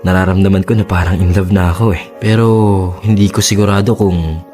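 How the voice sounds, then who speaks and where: A man's voice: sits low, steady tone, brisk, natural voice. A man acting in a Tagalog radio drama as a shy, lovestruck young suitor, earnest and hesitant. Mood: earnest, tender. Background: soft music.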